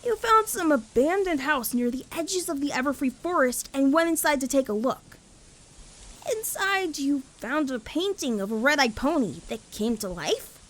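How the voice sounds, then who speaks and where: A woman's voice: high-pitched, animated, normal speed. A woman voicing a cocky but caring young adult pegasus mare in an acted cartoon fan fiction, talking casually. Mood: skeptical.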